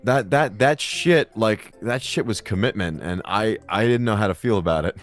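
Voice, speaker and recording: A young man with a deep voice, telling rambling, crude, swear-filled stories in a casual chat. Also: normal speed, faint music behind. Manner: crude, rambling storyteller who swears a lot.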